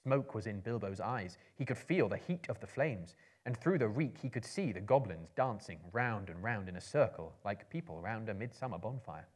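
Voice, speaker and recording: A man in his thirties giving a measured, theatrical reading of a story as a performer. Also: fairly low pitch, expressive. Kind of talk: dramatic literary reading to a live audience. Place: indoor book-lined room, seated in an armchair.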